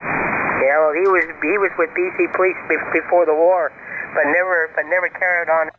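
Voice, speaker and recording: An older man with a slightly higher voice chats on the radio in a friendly, sociable way. His signal comes through thin and phone-like, with static hissing behind it. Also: fairly even intonation, moderate pace. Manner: chatty and warm, says "my friend". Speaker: ham radio caller.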